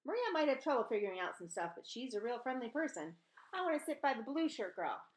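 A woman's voice: a little high, sing-song, fast talker, roomy sound, voice pushed up. A woman voicing a friendly but demanding schoolgirl in a storybook, speaking insistently.

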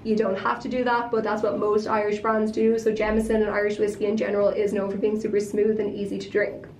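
A woman's voice: medium pitch, monotone, fast talker, a little mellow, distant mic. A woman tour guide explaining a whiskey tasting to a group in a friendly, informative way. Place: indoor whiskey tasting room. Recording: echoey room, mic from afar, noisy.

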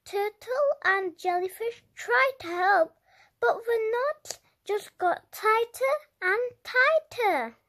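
A very high-pitched, childlike voice reads a picture book aloud. The delivery is extremely slow and deliberate, with dramatic emphasis suited to young children. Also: animated, a bit bright. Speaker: children's storybook reader. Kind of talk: expressive read-aloud narration for young children.

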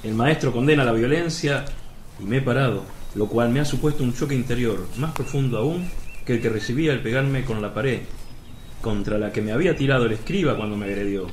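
A man's voice: mid-range voice, brisk, darkish tone, close to an everyday voice. An actor playing an indignant, agitated disciple who pleads with his master in a dramatic religious radio play. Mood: reflective, strained. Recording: roomy, with noisy outdoor ambience.

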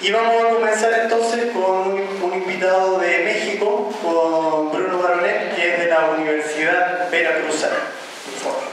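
A man's voice: sits high, flat delivery, moderate pace, a bit bright. Moderator man in a very echoey room. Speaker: panel moderator. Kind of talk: formal introduction. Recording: echoey room, far mic, background hum.

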